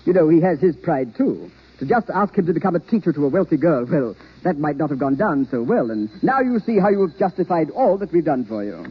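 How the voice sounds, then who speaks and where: Pompous, swooping, husky stage actor. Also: male voice, on the high side, very animated, a little fast, few pauses. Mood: expansive, smug. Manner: grandiloquent, theatrical, patronizing. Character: vain, pompous, self-important veteran stage actor. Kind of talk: 1940s radio drama acting. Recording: muffled vintage radio broadcast.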